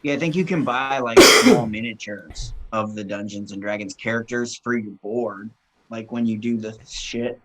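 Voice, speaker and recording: A man co-hosting a casual talk show, chatting excitedly and swearing casually. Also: lowish voice, moderate pace, background noise. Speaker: podcast co-host. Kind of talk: casual chat.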